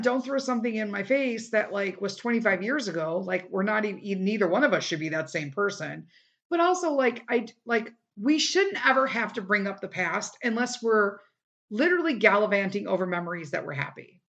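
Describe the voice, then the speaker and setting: A woman's voice: medium pitch, fairly bright tone, dull audio. A middle-aged woman hosting a casual solo podcast, talking bluntly and emphatically with some swearing, her voice a little worn from a day of recording. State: voice starting to give out from a day of recording. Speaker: podcast host.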